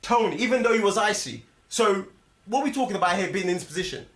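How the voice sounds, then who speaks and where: A man's voice: high-pitched, lively intonation, fast talker, fairly bright tone. A man with a London accent casually debating films in slang, emphatic and repetitive as he argues his point. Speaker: film review host. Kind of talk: animated casual film discussion, heavy slang. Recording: echoey room.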